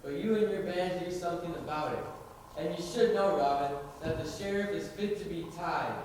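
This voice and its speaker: A teenage boy acting in a school stage play as a timid, admiring young gentleman, earnest and polite. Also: fairly high pitch, moderate pace, close to an everyday voice. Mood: earnest warning. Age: teens. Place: large indoor school performance space. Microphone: distant camera or room microphone. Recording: echoey, noisy hall, recorded from far off.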